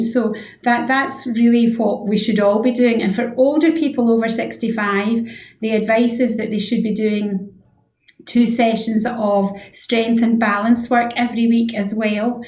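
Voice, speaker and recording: A woman with a mid-pitched voice speaks as an expert interview guest in a roomy, echoey space. She explains health advice informally and earnestly, repeating key words for emphasis. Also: a little mellow. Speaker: interview guest, head of physiotherapy.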